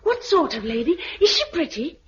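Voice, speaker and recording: A woman's voice: sits high, sing-song, normal speed, a bit bright, a little hiss or hum. An actress playing a brave, matter-of-fact nine-year-old English girl in a 1950s radio drama, earnest and plain-spoken. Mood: frank, curious. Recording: muffled vintage radio recording.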